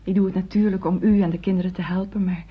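A woman's voice: fairly low pitch, little pitch movement, quick pace, husky. An actress playing a determined, earnest young Frenchwoman, a devoted daughter who speaks politely and insistently in a naturalistic radio drama. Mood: intimate, confiding. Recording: dull old radio play recording.

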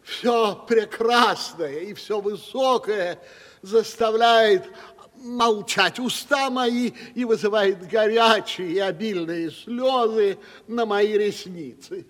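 An actor playing a pompous, flowery provincial landowner making speeches in a 1970s radio play. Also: male voice, high voice, slow, fairly bright tone. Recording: reverberant studio.

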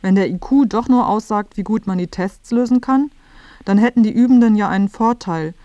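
A woman's voice: medium pitch, fairly quick, mellow, no echo, dull audio. A woman reading scripted narration for a radio feature.

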